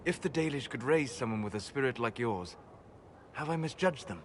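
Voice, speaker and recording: An actor playing a wise, melancholy elven mage in a video game, speaking in a measured, formal way. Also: male voice, mid-range voice, expressive, slight background noise, lightly acted. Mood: thoughtful, searching. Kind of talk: dramatic video game acting.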